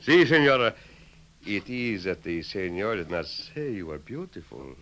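An actor playing a courtly, flattering Mexican gunslinger with a Mexican accent in a 1950s radio western. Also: male voice, on the low side, a little slow. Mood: charming. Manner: courtly, sly, flowery phrasing. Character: masked hero posing as smooth, flattering Mexican gunslinger.

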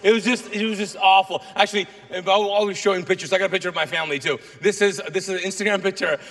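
A middle-aged man who grew up in Kuwait with Urdu as his first language, preaching as a guest pastor to a congregation in a lively, joking way. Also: sits high, fast. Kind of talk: sermon. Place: large church auditorium. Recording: long hall reverb.

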